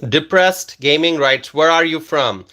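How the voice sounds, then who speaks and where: A man from Bangladesh hosting a casual livestream, reading viewer comments aloud in a slow, halting way with many ums and uhs. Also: a little high, sharp tone. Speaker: livestream host.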